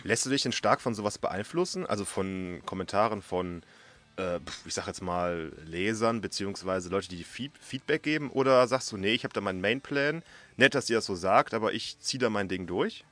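A man's voice: on the low side, expressive, normal speed, a bit bright. A man co-hosting a podcast in a casual, conversational style. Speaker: podcast co-host. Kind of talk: casual chat.

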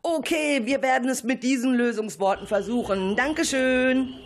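A woman's voice: high-pitched, moderate pace, slight background noise. A woman presenting a scripted children's radio show as host, putting questions to listeners and callers.

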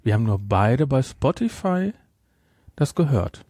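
A man hosts a casual podcast in a mid-pitched voice. He speaks haltingly and often corrects himself. The sound is dry, with no room on it. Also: sing-song, warm tone, no room sound. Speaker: podcast host.

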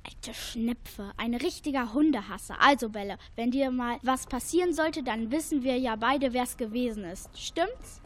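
A woman's voice: on the high side, normal speed, few pauses, fairly bright tone. A female performer playing a cheeky, easily exasperated girl in a German radio play. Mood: wry.

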